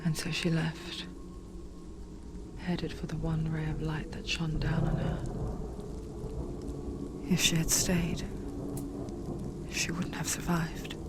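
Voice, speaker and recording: A woman playing a solemn narrator in a dark fantasy game, telling her tale in a breathy, intimate half-whisper, slowly and gravely. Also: sits low, monotone, very noisy. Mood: solemn, hushed. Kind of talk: hushed storytelling narration.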